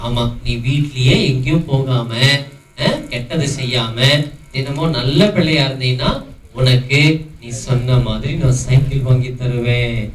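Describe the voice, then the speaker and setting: A stern but kind father puppet, voiced by a man, lecturing his son about obedience in a plain, mid-pitched voice. It is a live children's puppet show, heard from a distance in an echoey hall with faint noise behind. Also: fairly even intonation, measured pace, natural voice. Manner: scolding, lecturing. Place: prayer house hall.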